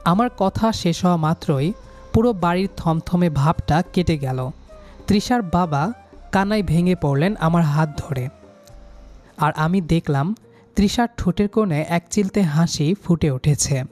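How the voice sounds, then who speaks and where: A man's voice: on the high side, unhurried, a little mellow, soft background music. A young man narrating a scripted first-person love story in a dramatic, sentimental manner.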